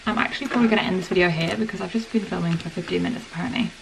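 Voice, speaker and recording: A woman's voice: on the low side, fairly even intonation, rapid-fire, vocal fry, noisy background. A woman in her twenties chatting casually and rambling to camera in her home kitchen while cooking dinner, filling her talk with "like". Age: 20s. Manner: rambling and chatty, lots of "like" fillers. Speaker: vlogger. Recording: camera mic from a distance, sizzling pan. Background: frying food sizzling.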